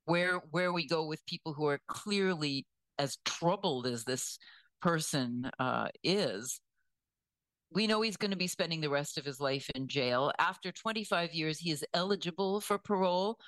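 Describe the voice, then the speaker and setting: A woman giving conversational news commentary in a measured, deliberate way, weighing her words as she goes. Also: deep voice, fairly bright tone, no room sound, low-quality recording.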